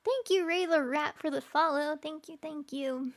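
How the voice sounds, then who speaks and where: A woman's voice: high-pitched, a little slow. A woman streaming live, chatting casually with her chat, laughing often and trailing off with fillers. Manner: giggly, laughs often between lines.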